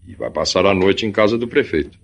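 An actor playing a courteous, reassuring middle-aged gentleman in a radio drama, formal, with a Brazilian accent. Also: sits low, lively intonation, a little fast, gravelly, heavily acted. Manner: formal, correct diction.

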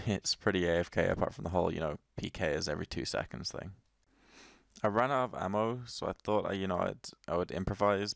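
Low, dry voice of an ill-sounding man. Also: creaky, no room sound. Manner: rambling, offhand, with frequent restarts and swearing. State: a little ill. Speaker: game video commentator. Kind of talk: casual gameplay commentary.

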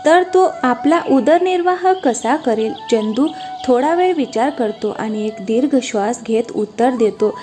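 Higher-pitched woman narrating a cartoon story. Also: expressive, a little slow, dark tone. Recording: music behind the voice.

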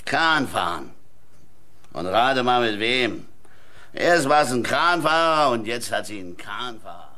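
A man's voice: medium pitch, very animated, a little slow, pushed and bright, a little hiss or hum. A man acting a drunk, resentful lorry driver, sarcastic and repeating himself, in a German radio crime play. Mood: spiteful.

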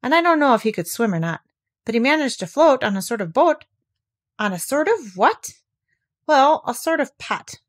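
A woman's voice: sits high, very animated, moderate pace, pitched above the performer's own voice. A woman voicing a simple, anxious, hopeful male toy bear in a storybook, earnest and singsong.

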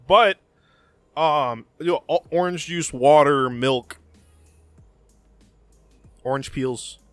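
A man's voice: fairly high pitch, slow pace, dry recording, soft background music. A young man from Miami chatting casually as a podcast guest about his trucking job, rambling and unsure of details, into a handheld mic in a small room. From Miami, USA. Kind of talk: casual chat. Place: small wood-panelled room, indoors.

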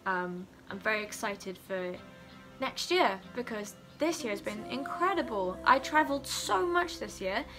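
A woman's voice: on the high side, animated, measured pace, rough recording. A young woman vlogging casually from her living room, talking in an informal, candid, rambling way. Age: young adult. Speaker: student vlogger. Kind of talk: casual vlog chat to camera. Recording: music playing behind. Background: music.

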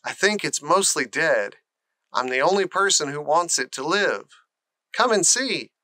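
A man's voice: on the high side, animated, unhurried, sharp tone, no echo. A man voicing an earnest, curious young girl with a questioning manner in a storybook. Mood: confiding.

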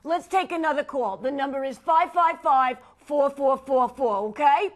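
High female voice, actress putting on a middle-aged New York talk show hostess, gushing, melodramatic, Yiddish-sprinkled parody. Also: normal speed, lightly acted.

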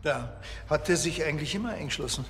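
An actor playing a dry, grumbling village policeman in a TV crime comedy, deadpan and blunt, with a Bavarian accent. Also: on the high side, quick pace, gravelly, slight background noise.

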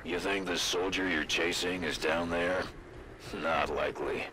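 An actor playing a gruff, territorial male colony governor in a video game, sardonic and grudging. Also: low-pitched, steady tone, unhurried, noisy background. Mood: grudging, wry. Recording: loud music and game sound behind.

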